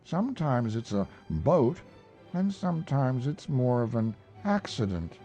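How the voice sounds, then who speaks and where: A man voicing a proud, simple-minded male bear with a growly voice and slow speech. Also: mid-range voice, sing-song, dark tone, soft background music, voice pushed up.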